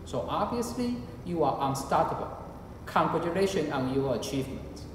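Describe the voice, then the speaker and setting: Lively man at a podium, echoey hall. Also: a little high, normal speed. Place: indoors at a podium before a backdrop. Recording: echoey hall with room noise.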